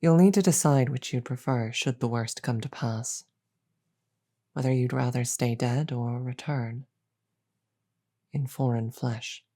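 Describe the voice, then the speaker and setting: A woman playing a regal, measured demon queen in an audio roleplay, grave and wryly amused, pausing deliberately and often slipping toward a whisper. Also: a bass voice, dark tone, heavily acted. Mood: grave, resolved. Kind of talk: scripted audio roleplay, slow dramatic delivery.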